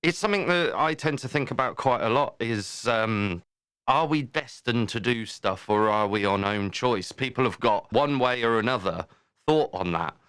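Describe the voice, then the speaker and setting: A man's voice: mid-range voice, lively intonation, normal speed, fairly bright tone, clean tone, no echo, clean studio sound. A man hosting a casual podcast interview, talking in a chatty, thoughtful, rambling way. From southern England. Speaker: podcast host.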